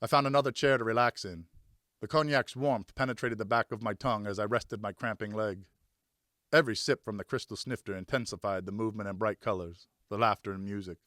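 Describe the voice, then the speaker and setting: A man's voice: fairly low pitch, fairly even intonation, a little fast, fairly bright tone, no echo, studio quality. A man narrating a first-person story as an audiobook reader, in a scripted, dramatic storytelling style.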